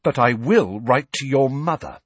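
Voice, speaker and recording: A bossy, righteous teenage schoolgirl acted out by a man scolds in clipped, forceful phrases. The voice is pitched high, husky and very animated, as in a storybook reading. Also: moderate pace, dry recording. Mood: quivering anger.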